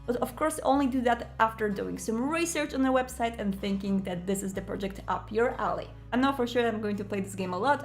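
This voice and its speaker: A woman hosting a casual video review, chatty and enthusiastic, with an informal, gushing way of talking. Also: mid-range voice. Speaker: YouTube host reviewing a board game.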